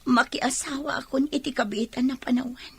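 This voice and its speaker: A young Ilocano-accented woman, high and breathy. She is dutiful and heavy with sorrow, in a dry radio drama recording. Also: steady tone, moderate pace, no echo, full character voice. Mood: apologetic, sad.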